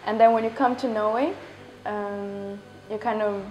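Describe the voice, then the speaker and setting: Woman, mid pitch, slow and considered, filler-heavy answer. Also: steady tone, lo-fi sound, faint music behind. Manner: thoughtful, pauses with fillers. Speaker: interviewee. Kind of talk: interview answer.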